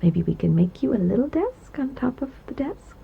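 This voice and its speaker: Breathy, doting giantess secretary, played by a woman. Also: fairly high pitch, big pitch swings, normal speed, phone-quality sound, exaggerated voice. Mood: gentle, reassuring. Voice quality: breathy, soft, often slipping into whisper. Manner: tender, coy, hesitant, giggly asides. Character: gentle giantess secretary, doting, teasing, soothing, grown woman. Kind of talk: ASMR roleplay, intimate one-sided conversation.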